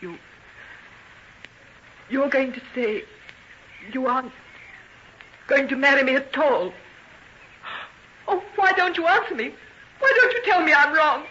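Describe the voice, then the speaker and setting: A dull, faintly noisy old radio recording features a tender, anxious young fiancée. Her voice is bright and of middling pitch, with an earnest, pleading, melodramatic delivery. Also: animated, measured pace, long pauses, heavily acted. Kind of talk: old-time radio drama acting.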